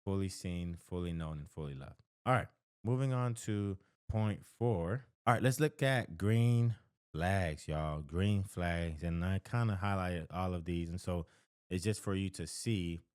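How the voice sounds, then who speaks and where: A low-pitched American man speaks as a counselor in a close, dry recording. He lays out advice conversationally, stressing points by repeating them and tagging them with short check-ins to the listener. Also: measured pace, darkish tone, clear, resonant tone, no echo. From the American South. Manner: emphatic, repeats key phrases for stress; conversational, often checks in with "right?" and "okay?". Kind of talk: direct-to-camera advice talk.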